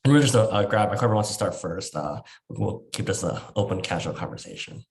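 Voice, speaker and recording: Low-voiced man hosting an online panel. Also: expressive, fairly quick, a little mellow. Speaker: moderator of a webinar panel. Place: video call.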